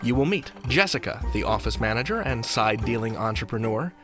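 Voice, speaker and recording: A man's voice: medium pitch, animated, fairly quick. A man hosting a podcast, reading a scripted introduction in an upbeat, theatrical manner. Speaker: podcast host. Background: music.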